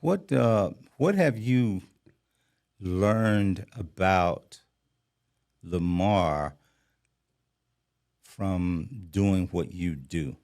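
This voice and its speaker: A man's voice: deep voice, animated, drawn-out words, pauses often, darkish tone. A man hosting a podcast, asking probing follow-up questions of his guest. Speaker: podcast host. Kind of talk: interview questions.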